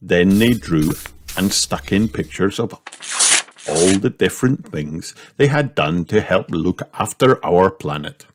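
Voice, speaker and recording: A male narrator reads a children's storybook aloud. His voice is on the low side. He takes his time, with very expressive, varied intonation. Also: measured pace, noisy background. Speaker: audiobook narrator.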